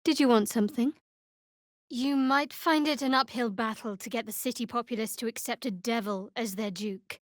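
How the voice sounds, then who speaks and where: A woman voicing a guarded, wry young female cleric of a dark goddess in a fantasy video game, speaking in a measured, dry, sardonic way. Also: on the high side, dry recording, lightly acted. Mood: cautious.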